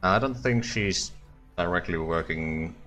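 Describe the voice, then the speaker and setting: A man's voice: sits low, unhurried, bright, forceful tone, soft background music. A man voicing a secretive, evasive male agent with a put-on Russian accent. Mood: guarded. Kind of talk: tabletop roleplay in character.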